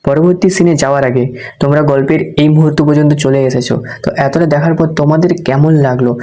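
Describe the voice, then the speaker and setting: A male channel host talks informally to his viewers. His fairly high voice is mellow and soft-edged, and he speaks quickly. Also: fairly even intonation.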